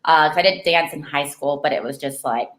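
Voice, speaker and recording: A woman's voice: low voice, fairly quick, a bit bright. A young woman from Argentina, raised in California, chatting as a guest and filling her talk with "like.". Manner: chatty, lots of "like" fillers, laughs easily. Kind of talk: casual chat. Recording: echoey room.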